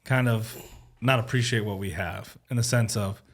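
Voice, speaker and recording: A man's voice: fairly low pitch, moderate pace. A man hosting a podcast, talking in an easygoing, agreeable way. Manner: easygoing, agreeable, casual phrasing. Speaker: podcast host. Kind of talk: casual conversational interview.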